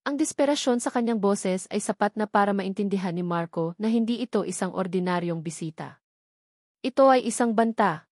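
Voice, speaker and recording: A woman narrating a romantic drama story in a steady storytelling delivery. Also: medium pitch, moderate pace, dry recording, clean studio sound.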